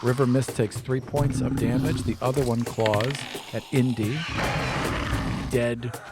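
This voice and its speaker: A man running a tabletop game as dungeon master, narrating scenes in a relaxed, wry way. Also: medium pitch, measured pace. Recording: music bed under the voice.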